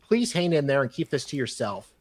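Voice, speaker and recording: A man's voice: medium pitch, sing-song, a little fast, a little mellow, slight background noise. A man hosting a live show, reading emails aloud in a dramatic style and breaking off for casual, mocking asides.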